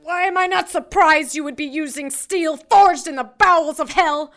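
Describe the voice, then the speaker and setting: A proud warrior archangel in an audio drama. The high voice is commanding and sardonic, full of defiance toward captors. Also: female voice, moderate pace, fairly bright tone. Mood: scornful, defiant.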